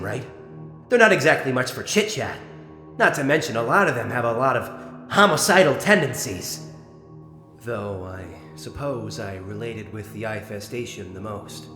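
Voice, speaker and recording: A man's voice: lowish voice, lightly acted. A man voicing a smug, bitter mutant sea-creature prisoner in a comic dub, with a theatrical, mocking, sarcastic drawl. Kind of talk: scripted comic dub voice acting. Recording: reverberant room sound, music underneath.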